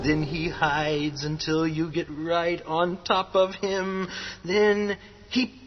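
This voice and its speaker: A man's voice: fairly high pitch, flat delivery, slow pace. An actor playing an irritable, mocking young man who taunts his sister in a horror radio drama. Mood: eerie teasing. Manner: sarcastic, mocking, theatrical. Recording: dull radio drama mix under loud music.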